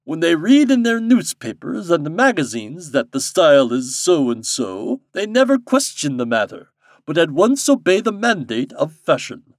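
Fairy king played by a man for a storybook: commanding, wise, stern, high-pitched, very animated. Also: moderate pace, no echo, clean studio sound. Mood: instructive, assured.

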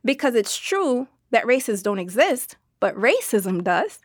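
A woman reading a dubbed translation of an interview answer in a measured, explanatory way. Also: on the high side, sing-song, dry recording, studio quality. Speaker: voice-over translator for an interviewed scholar.

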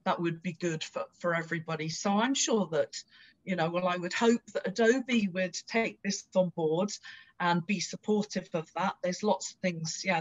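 A woman's voice: fairly low pitch, dull audio. A woman with an Irish accent, a guest speaking in a casual, encouraging interview chat. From Ireland.

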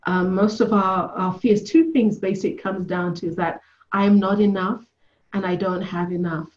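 Soft-spoken woman coach, lo-fi sound. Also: fairly low pitch. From Ethiopia. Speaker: guest, coach. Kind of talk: conversational interview.

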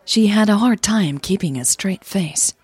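A native Dutch-speaking woman with a husky voice, narrating a scripted podcast in a measured way. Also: mid-range voice, animated, darkish tone, no room sound, some chatter behind. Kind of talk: scripted audio story narration.

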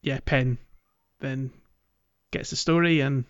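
A man's voice: medium pitch, fairly even intonation, slow, smooth, muffled recording. A man co-hosting a casual gaming podcast with a jokey manner. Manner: jokey, laughs often. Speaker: podcast co-host.

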